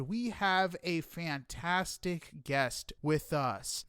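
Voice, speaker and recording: Lively, higher-pitched man hosting a podcast. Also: no echo, studio quality. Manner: enthusiastic, effusive. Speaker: podcast host. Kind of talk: upbeat interview and podcast intro.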